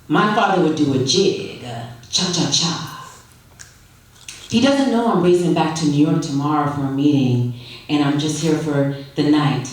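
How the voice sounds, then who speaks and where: A woman reading a literary short story aloud at a live event, expressive and unhurried, with dramatic pauses. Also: low-pitched. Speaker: story reader. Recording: echoey room, distant microphone.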